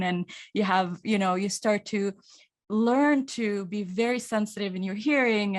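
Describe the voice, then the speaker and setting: Saudi-accented woman narrator in conversation. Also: medium pitch. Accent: Saudi Arabian. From Saudi Arabia. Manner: thoughtful, with frequent restarts. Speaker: guest, actor and audiobook narrator. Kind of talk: casual interview talk.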